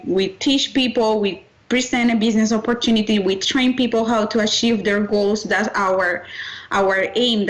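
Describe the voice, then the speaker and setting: Cuban-born woman coach, earnest and repetitive, slightly high pitch. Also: poor audio. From Cuba. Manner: earnest, repeats and restarts phrases. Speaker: personal development coach. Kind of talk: webinar presentation.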